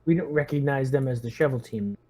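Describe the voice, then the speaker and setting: Dark-toned man chatting during a tabletop game. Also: medium pitch, a little fast. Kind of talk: casual game chat.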